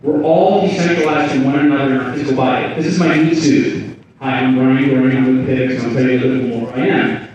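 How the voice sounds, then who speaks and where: A man giving an animated, rambling talk at a conference, jumping from asides to big ideas. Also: medium pitch, fast talker, a little hiss or hum. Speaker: conference speaker. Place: conference hall. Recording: echoey hall from far off, dull sound.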